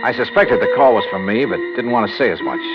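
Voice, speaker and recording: An actor playing a wry, brisk insurance investigator who narrates in the first person, in an old radio drama. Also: male voice, fairly low pitch, lively intonation, natural voice. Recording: dull old radio broadcast with music.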